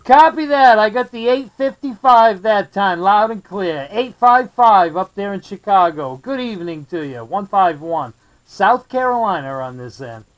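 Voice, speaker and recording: A man's voice: sits high, lively intonation, a little slow, dull audio. An American man working as a CB radio operator, trading call signs and friendly, courteous sign-offs. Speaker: CB radio operator, video maker. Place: indoor radio desk.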